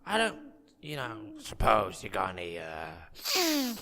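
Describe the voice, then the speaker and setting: A man voicing a shifty, excitable little gnome potion seller in a fantasy cartoon, squawky and halting, repeating words and bursting into shouts. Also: fairly low pitch, full character voice. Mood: gleeful, conniving.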